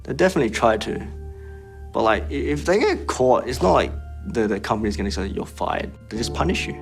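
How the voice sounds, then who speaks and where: A man's voice: on the low side, sing-song, a little fast, vocal fry, faint music behind. A young man, talking candidly in an interview and laughing now and then. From Australia. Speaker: interviewee, former trainee. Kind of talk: casual interview.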